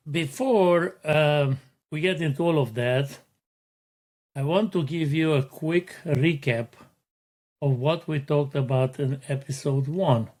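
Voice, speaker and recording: A middle-aged man hosts his own motivational podcast, talking slowly and deliberately. His voice sits a little high for a man, and he lays out each point with earnest, unhurried care. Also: a little mellow. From Romania. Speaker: podcast host.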